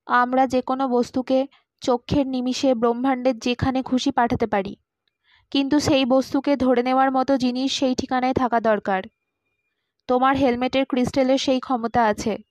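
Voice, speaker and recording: A woman voicing a calm alien robot envoy in a measured, matter-of-fact way for an audiobook. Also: high-pitched, no echo, natural voice, rough recording. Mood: explaining.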